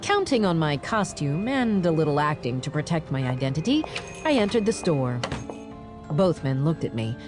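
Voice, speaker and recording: A woman narrating a dramatized audiobook in the first person, with wry, expressive storytelling. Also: low-pitched, normal speed, over music.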